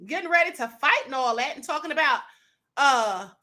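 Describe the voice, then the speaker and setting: A woman hosting her own commentary show, reacting to videos in a sassy, animated way and laughing often. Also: high-pitched, normal speed. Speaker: show host.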